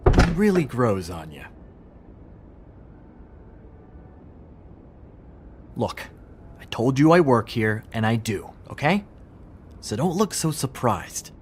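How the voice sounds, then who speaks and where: An actor playing a glib, smug pawnshop clerk in a video game, flippant and sarcastic. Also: male voice, medium pitch, big pitch swings, unhurried, a little mellow, a little hiss or hum. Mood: defensive.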